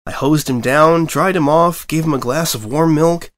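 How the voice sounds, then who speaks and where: A man's voice: a little high, normal speed, pitched above the performer's own voice, lightly acted. A man voicing an earnest, eager young boy dragon assistant for a cartoon fan story. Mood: proud, earnest.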